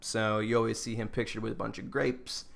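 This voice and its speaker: A man's voice: fairly low pitch, level tone, brisk, vocal fry, a bit bright. A man co-hosting a podcast, giving a casual, joking rundown in an informal, giggly way. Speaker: podcast co-host presenting a rundown. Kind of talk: casual comedic presentation with banter.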